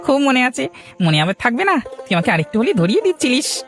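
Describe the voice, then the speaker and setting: Man voicing a very high-pitched humble villager. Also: big pitch swings, fairly quick, a bit bright, faint music behind, heavily acted. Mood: teasing. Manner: earnest, fretful. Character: anxious, humble village man, plain-spoken and deferential. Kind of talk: cartoon dialogue.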